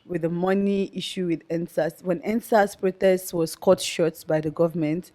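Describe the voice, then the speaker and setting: A young woman with a Nigerian accent speaking earnestly as an interview guest, with a loud, outspoken way of talking. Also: on the low side, fairly even intonation, moderate pace. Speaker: guest, activist. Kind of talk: conversational interview.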